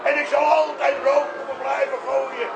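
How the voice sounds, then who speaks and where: An actor playing an older, self-righteous café owner, ranting pompously in a radio comedy drama. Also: male voice, very high voice, flat delivery, moderate pace, gravelly, lo-fi sound, background noise. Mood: defiant. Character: indignant old café-owner grandfather, self-righteous anti-monarchist rebel.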